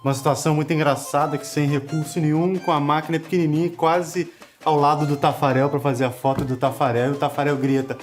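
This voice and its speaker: A man rattles through an amusing work story in a slightly raised voice. His telling is lively, and light music plays underneath. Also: fairly high pitch, fast. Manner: animated storytelling. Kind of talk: anecdote in documentary interview.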